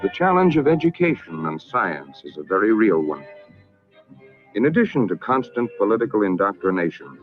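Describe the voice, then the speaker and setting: An American man narrating a scripted 1960s public information film in a formal, measured, emphatic manner. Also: fairly low pitch. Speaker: documentary narrator. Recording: narrow-band vintage film soundtrack, music behind.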